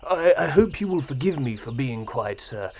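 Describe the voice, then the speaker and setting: A man playing a polite, apologetic gentleman interviewer in a period audio drama, speaking haltingly and courteously. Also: mid-range voice, expressive, natural voice.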